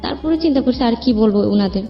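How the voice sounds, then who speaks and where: A young woman with a Bangladeshi accent and a fairly high voice recounts her own life in loose, everyday speech. She rambles as an interview guest. The sound is somewhat dull, with music underneath. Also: animated, fast talker. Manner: colloquial, rambling narrative. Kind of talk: personal storytelling in an interview. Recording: muffled lo-fi audio, music behind. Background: background music.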